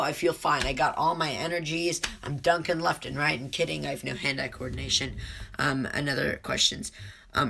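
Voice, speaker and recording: A man hosting his own live stream while sick with a cold, his voice deeper than usual, rambling and answering chat with lots of ums. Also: gravelly, pushed and bright. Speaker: livestream host.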